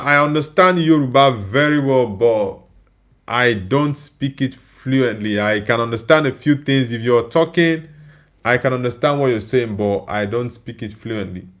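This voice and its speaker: A man's voice: medium pitch, a little slow, fairly bright tone. A tired man with a Nigerian accent whose first language is Igbo, hosting a live stream and reading viewer comments aloud in casual chat. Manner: reads viewer comments aloud and answers them. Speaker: livestream host.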